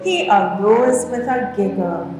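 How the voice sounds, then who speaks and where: A woman with a Trinidadian accent reads a comic rhyming story aloud in an expressive, theatrical way, with deliberate phrasing. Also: medium pitch. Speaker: guest storyteller reading aloud at a school. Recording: very echoey hall, distant mic, faint music.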